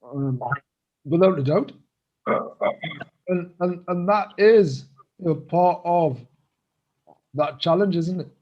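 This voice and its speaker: A man co-hosting a casual business chat, his voice a little croaky, speaking hesitantly with many fillers and pauses. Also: high voice, highs cut off. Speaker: co-host.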